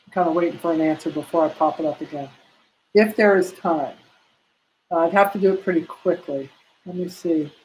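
Older woman, massage instructor, live video-call class from home; slightly low voice, chatty and practical. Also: brisk, rough recording. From United States. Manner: warm, practical, chatty teaching with many fillers. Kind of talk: live online class answering questions. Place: home room. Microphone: laptop webcam on a video call.

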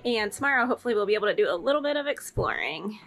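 A woman in her thirties talking casually to an action camera on a sailboat, relaxed and laughing easily. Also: medium pitch, very fast, music in the background. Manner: relaxed, chatty, laughs often. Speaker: sailing vlogger. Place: aboard a sailboat cabin.

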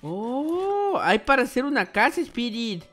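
A young man with a high, youthful voice hosts a playful, exclamatory gaming roleplay. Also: sing-song, unhurried, bright, forceful tone. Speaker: gaming video host. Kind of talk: excited gameplay roleplay chat. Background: background music.

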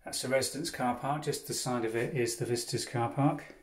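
A man showing visitors around his holiday cottage, describing each room in an unhurried, itemizing way as he walks. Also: medium pitch, fairly even intonation. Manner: unhurried, descriptive, pausing between items. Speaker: holiday cottage owner giving a tour. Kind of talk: guided walk-through house tour. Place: inside a cottage, walking room to room.

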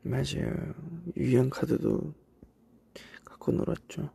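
A young Korean man from South Korea with traces of a Cheongju accent, chatting casually on a livestream and reminiscing in a relaxed way with soft asides. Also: medium pitch, slow, vocal fry, husky, in a whisper. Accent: Cheongju dialect traces in Korean. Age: young adult. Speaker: idol livestream host. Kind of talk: casual livestream chat reading comments. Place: indoors, voice-only stream.

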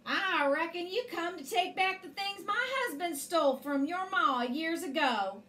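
Woman voicing a high, folksy giant ranch wife. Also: lively intonation, unhurried, bright, forceful tone, exaggerated voice. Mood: caring.

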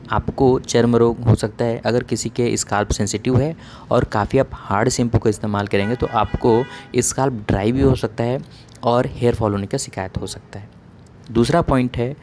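A man's voice: mid-range voice, a little mellow, a little hiss or hum. A man giving a plain, methodical educational explanation as a doctor, point by point. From India. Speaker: dermatologist giving hair-care advice.

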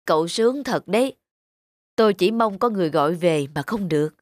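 A man voicing a regretful elderly widower in an animated moral drama, telling his story slowly and sorrowfully. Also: sits high, no room sound, clean studio sound. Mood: wistful. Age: older.

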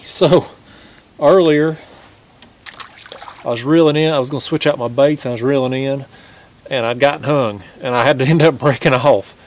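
A man's voice: medium pitch, slight background noise. A young man with a Southern American accent, chatting to viewers in a folksy way while fishing from a riverbank at night, recorded on an action camera. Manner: folksy, talks to viewers as "y'all", chuckles often. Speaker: fishing vlogger. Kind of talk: casual outdoor commentary. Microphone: action camera on the bank.

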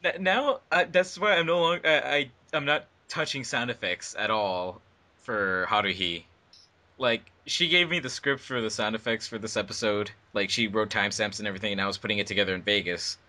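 A man's voice: lowish voice, lively intonation, a little fast, bright, forceful tone. A man chatting as an editor on a casual commentary track, often repeating words as he starts.

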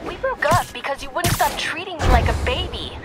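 An actress playing a fed-up young woman ex-girlfriend who talks sharp and sarcastic. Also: high-pitched, lively intonation, fairly quick, heavy background noise. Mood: annoyed. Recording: loud music score behind.

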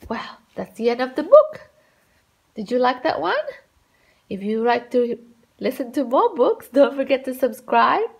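A woman reading a picture book aloud to young children in an expressive, sing-song way. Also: high-pitched, unhurried, gravelly, breathy edge, low-quality recording. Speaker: children's story reader.

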